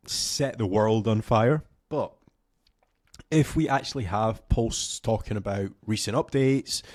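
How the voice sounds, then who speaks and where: A young man, slightly hoarse with a cough, giving a casual, filler-heavy screen-share tutorial into a close studio mic. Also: fairly low pitch, darkish tone, no echo. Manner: casual, rambling with many fillers. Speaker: SEO coach.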